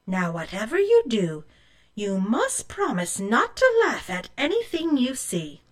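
A woman voicing a kindly, frail old village woman in a storybook tale, with a gentle, drawn-out way of talking. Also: a little high, huge pitch swings. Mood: firm, caring.

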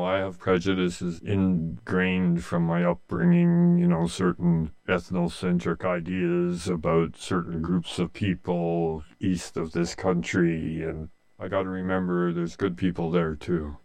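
A man's voice: low voice, unhurried, vocal fry, darkish tone. A man in his late sixties with a Canadian accent, telling his personal story in an earnest, plain-spoken way in an interview. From Canada, rural eastern Ontario. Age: late 60s. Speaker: interviewee, humanitarian aid volunteer.

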